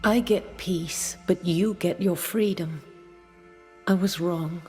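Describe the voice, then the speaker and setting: An actress playing a possessive, remorseful woman in a video game, sorrowful and halting. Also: fairly low pitch, fairly even intonation, dark tone, soft background music. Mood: remorseful, resigned. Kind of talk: video game cutscene acting.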